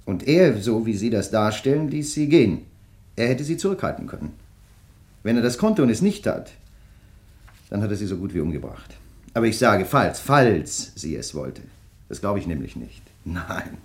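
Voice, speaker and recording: A brooding man crime writer in a radio play, insistent and suspicious. His pitch moves widely as he probes, pointed and deliberate. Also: big pitch swings. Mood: brooding, bitter. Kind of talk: German radio drama dialogue.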